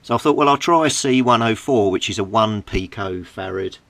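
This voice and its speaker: A man with a somewhat low voice talks through a repair at his home workbench. He is plain and methodical and thinks aloud as he goes, with the odd filler. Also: normal speed, gravelly, fairly bright tone, no echo. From Britain. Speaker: radio repair hobbyist. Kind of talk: technical repair walkthrough.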